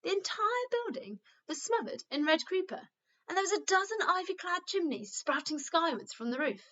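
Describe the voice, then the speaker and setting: A woman reads a children's book aloud like a teacher to her class. Her high, bright voice moves up and down expressively, and the recording is a little dull. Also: very animated, moderate pace. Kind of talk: expressive children's storybook reading.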